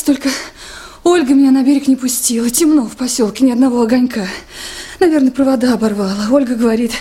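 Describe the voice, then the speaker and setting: A naive young woman of a river crew in a Soviet radio drama. Her voice is high, mellow and husky. She speaks haltingly and earnestly, as someone shaken.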